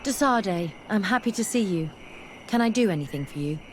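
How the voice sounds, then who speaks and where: A woman's voice: mid-range voice, lively intonation, brisk, slight background noise, close to an everyday voice. Thoughtful, skeptical woman scholar played by an actress. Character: curious, skeptical, determined female scholar. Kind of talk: video game dialogue acting.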